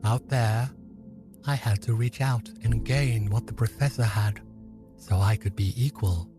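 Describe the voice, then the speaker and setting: Man playing a slow, solemn, regretful old man. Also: on the low side, fairly even intonation, music in the background, close to an everyday voice. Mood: grave, confessional. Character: lonely, regretful old man turned celestial time manipulator. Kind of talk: scripted audio drama acting.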